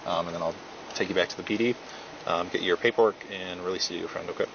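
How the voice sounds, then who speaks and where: Calm low-voiced man on a police bodycam. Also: fast talker, creaky. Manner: calm, patient, reads forms verbatim. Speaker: police officer. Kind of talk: procedural arrest talk. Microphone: body camera. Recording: muffled bodycam audio with loud noise.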